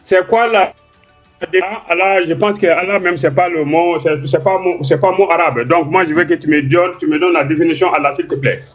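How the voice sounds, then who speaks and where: High-voiced guy on a muffled call, keeps pushing his question, faint music. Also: fairly even intonation, normal speed. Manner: insistent, repeats polite requests. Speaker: caller in a live debate. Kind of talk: casual debate questioning.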